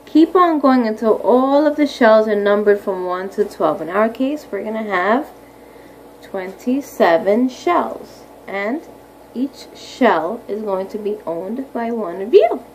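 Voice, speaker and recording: Higher-voiced woman teaching young children, noisy recording. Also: lively intonation, takes it slowly, darkish tone. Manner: asks frequent questions, explains simply. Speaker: primary school teacher. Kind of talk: read-aloud lesson for young children. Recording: clearly audible background noise.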